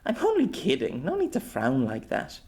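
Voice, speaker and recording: A man's voice: sits high, huge pitch swings, normal speed, creaky, a little mellow, voice pushed up, full character voice. A man voicing a playful, teasing young woman adventurer who coos and pouts, in an audiobook story. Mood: teasing, curious. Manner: cooing, pouting, cheeky.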